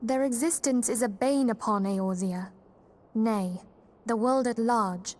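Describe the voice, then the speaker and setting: A woman's voice: a little high, takes it slowly, a little mellow. An actress playing a kindly, earnest young female leader in a fantasy game, speaking with poised, formal sincerity. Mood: earnest, composed. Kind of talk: fantasy video game voice acting.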